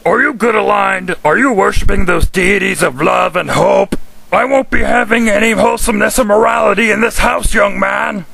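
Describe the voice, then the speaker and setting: A man voicing a strict, evil monster father in a raspy, pinched voice, scolding his son in a comic sketch. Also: high-pitched, normal speed, bright, forceful tone, dry recording, raised voice, voice pushed up, full character voice. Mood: outraged. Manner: stern parental scolding.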